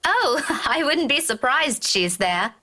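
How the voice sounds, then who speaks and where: A young woman in a visual novel game, friendly and a little hesitant. Her voice is highish and bright, and she takes her time with each phrase. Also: sing-song, slow, heavily acted. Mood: amused. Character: young woman, feverish, stubborn, playing it down.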